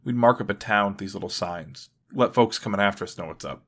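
An actor voicing a weary, wry superhero archer in a motion comic, with a deadpan, understated delivery. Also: male voice, on the low side, fairly quick. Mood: explaining, dry. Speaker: voice actor narrating and playing lead.

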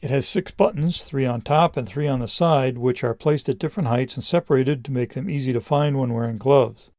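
A middle-aged man reading a scripted product review in a measured, explanatory way. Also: mid-range voice, a little mellow, dry recording, studio quality.

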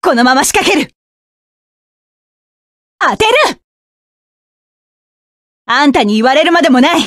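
A woman's voice: sits high, big pitch swings, unhurried, no room sound, studio quality, full character voice. An actress voicing a fierce, cold young female mecha pilot in a game, giving curt, commanding battle lines. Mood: combat. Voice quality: sharp, commanding.

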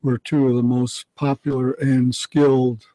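A man's voice: mid-range voice, flat delivery, a little slow, warm tone, no echo, poor audio. An older man, a radio historian, answering interview questions briefly and matter-of-factly in a radio studio. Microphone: studio mic with headphones.

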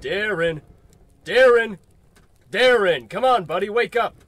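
A man's voice: high-pitched, expressive, slow pace, a bit bright, soft background music, full character voice. A man playing a blunt, streetwise hobo with slangy, casual talk in an old-time radio comedy. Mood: urgent.

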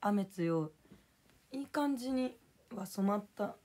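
A young woman with a hoarse voice, chatting casually on a livestream and thanking viewers for gifts. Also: medium pitch, expressive, measured pace, a little mellow. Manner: chatty, drifts between topics and reading out viewer names.

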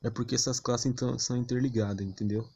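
A man's voice: mid-range voice, fairly even intonation, fast talker, vocal fry, dark tone, slightly rough. A man with a Brazilian accent giving casual, slangy, blunt gaming commentary with mild cursing. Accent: Brazilian Portuguese. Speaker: gameplay streamer.

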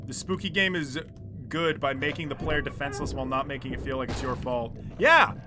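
A young man livestreaming a horror game, chatting to viewers with jokey, excitable, crude swearing. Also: medium pitch, brisk, background noise. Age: young adult. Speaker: game streamer. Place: small dim room indoors at home. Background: horror game music.

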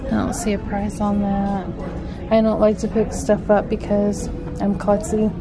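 A middle-aged woman talks slowly and easily as she walks the aisles of a home decor store with a handheld camera. She points out prices and cute pieces in a chatty, friendly way. Clear background music plays under her voice. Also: mid-range voice, completely flat delivery. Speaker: vlogger. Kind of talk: shop-with-me vlog.

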